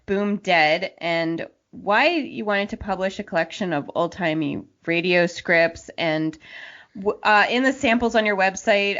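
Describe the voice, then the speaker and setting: A woman with a slightly low voice hosts an interview podcast. She poses questions and comments conversationally. The recording sounds a bit muffled and lo-fi. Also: a bit bright. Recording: dull, band-limited lo-fi sound.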